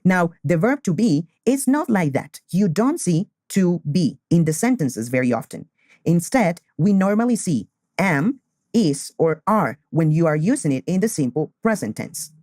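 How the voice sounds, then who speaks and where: Slow, deliberate teacher. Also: male voice, high voice, big pitch swings, darkish tone, no echo, studio quality. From Colombia. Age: 20s. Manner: slow, deliberate, repeats key phrases. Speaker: English teacher. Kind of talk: beginner English lesson.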